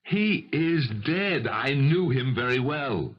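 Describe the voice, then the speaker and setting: A man's voice: medium pitch, lively intonation, takes it slowly. An actor playing a haunted, defensive rich businessman in a 1970s radio mystery, stammering and overwrought. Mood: uneasy, evasive. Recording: dull vintage radio broadcast recording.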